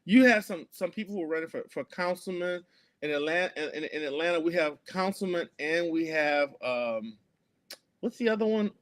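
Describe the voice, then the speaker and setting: A man's voice: fairly high pitch, animated, normal speed, fairly bright tone. A man, speaking earnestly as an activist guest in an interview, with many fillers and restarts. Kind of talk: conversational interview.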